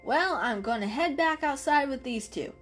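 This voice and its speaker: A woman's voice: high voice, lively intonation, normal speed, a bit bright, soft background music, voice pushed up. A woman voicing a friendly, reassuring grown male cat who chats and explains kindly in a storybook reading. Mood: cheerful, helpful.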